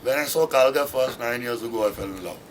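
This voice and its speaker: A man who founded a travel company, speaking in a thoughtful, unhurried way in an interview. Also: medium pitch. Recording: outdoor with background noise.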